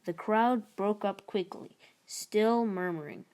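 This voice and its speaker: A woman narrating a children's book aloud in an expressive read-aloud style. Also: mid-range voice, takes it slowly, clean tone.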